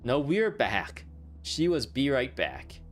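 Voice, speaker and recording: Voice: medium pitch, huge pitch swings, slow. A game streamer giving casual livestream commentary in a playful, giggly, rambling way. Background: game music.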